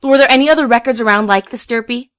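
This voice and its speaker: A woman playing an eccentric, excitable young female unicorn in an amateur audio drama. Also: on the high side, fast, natural voice. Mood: eager, hopeful.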